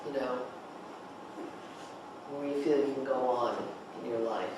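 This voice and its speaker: A person leading a group discussion of a play, asking probing questions and thinking aloud in halting phrases. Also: mid-range voice, a little mellow. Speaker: discussion leader, director of a play reading. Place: echoey room, group table read. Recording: roomy, echoey, noisy distant mic.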